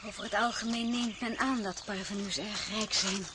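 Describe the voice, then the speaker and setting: An actress playing a gracious royal wife and mother in a radio drama, poised and gently wry. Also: medium pitch, steady tone, moderate pace, lightly acted. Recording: background noise with outdoor birdsong.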